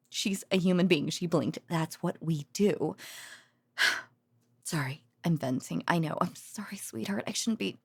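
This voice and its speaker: A tired, doting girlfriend played by a woman murmurs close to the mic in a dry, intimate audio roleplay. Her voice sits fairly low with a heavy creak, and she rambles in halting phrases broken by sighs, like an overworked researcher unwinding with her partner. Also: no echo, exaggerated voice. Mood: tender, teasing. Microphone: close, dry studio microphone.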